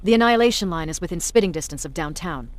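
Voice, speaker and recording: An actress playing a cynical, blunt resistance commander in a video game, with a measured, sardonic delivery. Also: female voice, fairly low pitch, animated, natural voice. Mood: brisk, commanding. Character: hard, cynical female resistance military commander, self-declared bully.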